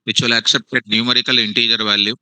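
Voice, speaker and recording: A male programming teacher, giving a live lecture to students in a classroom and online, repeating phrases slowly for dictation. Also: medium pitch, level tone, bright, forceful tone, clear, resonant tone, dry recording, rough recording. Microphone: online meeting.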